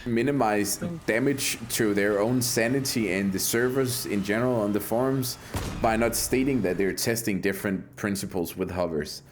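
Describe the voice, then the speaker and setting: A man's voice: lowish voice, normal speed, a little hiss or hum. A man from Denmark with a Danish accent, hosting a live game stream in a brash, profane, joking way. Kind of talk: casual live-stream chat.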